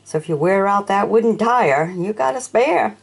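A woman's voice: mid-range voice, lively intonation, normal speed, gravelly, breathy edge. An older woman showing off toy vehicles from an auction, chatting casually and asking the viewer questions as she films them at a sunny windowsill. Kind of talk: casual show-and-tell narration.

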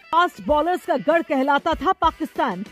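Female voice-over, scripted cricket narration, dramatic delivery, high pitch, light music bed. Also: expressive, moderate pace. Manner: dramatic, poetic build-up.

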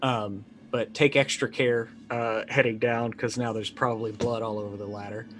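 A man in his forties improvising casual narration as a tabletop game player, halting as he thinks aloud. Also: medium pitch, flat delivery, a bit bright, slight background noise. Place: small indoor room, remote stream.

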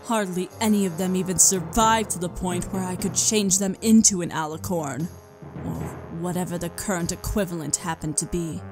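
A woman's voice: on the low side, lightly acted. A woman voicing a cold, mocking, ancient regal pony princess in a dramatised story reading, sardonic in manner. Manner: leisurely, sardonic. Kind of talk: dramatised fan fiction reading. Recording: music behind the voice.

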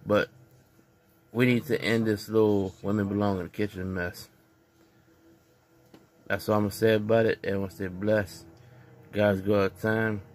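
Casting: man, lowish voice, earnest home vlogger, preachy and repetitive, phone-recorded in a living room. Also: level tone, unhurried, pauses often, a little mellow. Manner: earnest, preachy, repeats himself. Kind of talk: casual opinion vlog.

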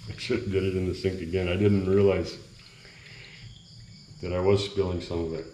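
A man's voice: low-pitched, flat delivery, normal speed, dark tone. Easygoing man in an echoey kitchen. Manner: easygoing, rambling, talks to viewers while cooking. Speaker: vlogger. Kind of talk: casual cooking vlog chat. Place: small bare cement-walled kitchen. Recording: echoey room, faint background noise. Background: crickets at night.

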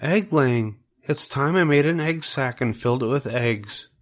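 A man voicing a calm, sensible female spider in a storybook, measured and matter-of-fact. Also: medium pitch, voice pushed up, natural voice.

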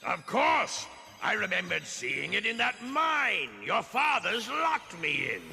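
An actor playing a menacing skeletal robot ghost overlord in a cartoon, snarling and taunting in a grandiose, theatrical way. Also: male voice, high voice, sing-song, takes it slowly, heavily acted. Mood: gloating menace. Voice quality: gravelly, snarling. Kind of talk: 1980s cartoon villain acting. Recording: long reverb, faint music behind.

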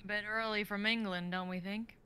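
A woman's voice: medium pitch, steady tone, moderate pace, bright, forceful tone, lightly acted. A woman in her twenties playing a blithe, matter-of-fact former pet dog in human form, on home video-call audio. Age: 20s. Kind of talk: amateur dramatic script reading.

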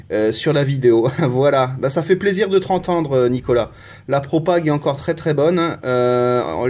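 Male CB operator, average pitch, informal on-air chat, recorded beside his set in a small room. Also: a little mellow. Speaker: CB radio operator. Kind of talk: casual radio contact chat.

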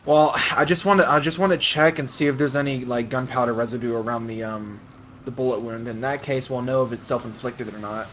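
A man chats in character in an online roleplay game. His delivery is casual and tentative, as if reasoning things out loud. Faint noise sits on the gaming voice chat. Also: mid-range voice, steady tone, brisk. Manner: hesitant, casual, thinking aloud. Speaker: roleplay gamer playing an investigator.

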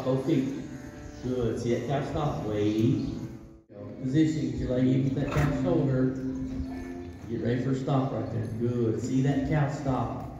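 A Texan riding instructor calls out short, steady commands to his students, calm and encouraging. He is picked up by a camera far off in a big covered arena, his voice ringing with long echo. The audio is dull, with music playing behind him. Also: mid-range voice. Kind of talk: coaching riders live from the arena. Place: large covered indoor arena. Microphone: camera far across the arena.

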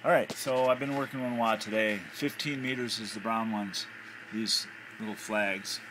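A man's voice: fairly low pitch, steady tone, normal speed. An American man giving a casual, off-the-cuff hobbyist demonstration, thinking aloud hesitantly. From United States. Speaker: amateur radio hobbyist. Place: indoors at a home radio desk. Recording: noisy handheld home recording.

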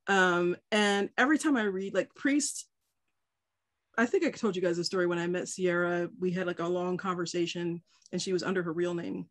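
A woman's voice: lowish voice, moderate pace. Chatty woman podcaster on a webcam. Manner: chatty, jumps in with side questions. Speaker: podcast co-host. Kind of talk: casual chat on a video call. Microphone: video call webcam.

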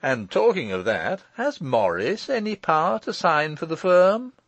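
Breezy, teasing lawyer nephew, acted by a male reader: raised pitch with big swings, eager and emphatic. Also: big pitch swings, unhurried, fairly bright tone, no echo, exaggerated voice. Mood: gleeful. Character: brisk, teasing, exuberant gentleman lawyer nephew.

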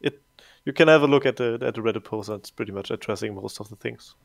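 A man's voice: fairly low pitch, fast, vocal fry. A middle-aged man on a casual livestream Q&A, answering viewer questions as community manager, repeating words and chuckling often. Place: home room, indoors. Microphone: headset.

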